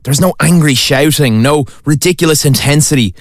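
Male news narrator, scripted and even, slightly raised pitch. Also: moderate pace. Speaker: reporter narrating a feature.

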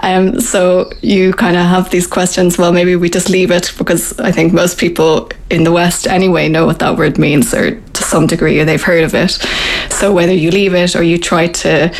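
An Irish woman who grew up speaking Irish, explaining her practice in a relaxed, thoughtful way with frequent ums and restarts. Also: lowish voice, normal speed. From Ireland. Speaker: interviewee, Buddhist centre member. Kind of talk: casual conversational explanation.

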